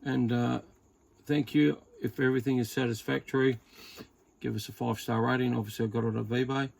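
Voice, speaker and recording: Gravelly Australian man, casual and rambling. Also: mid-range voice, flat delivery, fairly quick. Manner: rambling, informal, thinking aloud with mild swearing. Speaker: hobbyist radio reviewer. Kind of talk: casual unboxing and test commentary. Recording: noticeable background noise.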